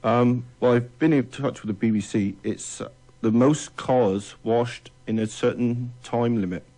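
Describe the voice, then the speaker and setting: Mellow man, medium pitch, being interviewed. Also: unhurried, low-quality recording. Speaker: radio interviewee. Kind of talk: casual explanation in an interview.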